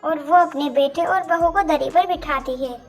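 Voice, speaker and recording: A woman's voice: sits high, moderate pace, faint music behind. A woman narrating a scripted moral story as its storyteller.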